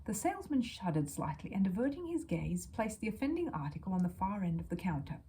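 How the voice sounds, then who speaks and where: A woman reading a children's story aloud as an expressive narrator. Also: mid-range voice, fast, a little hiss or hum.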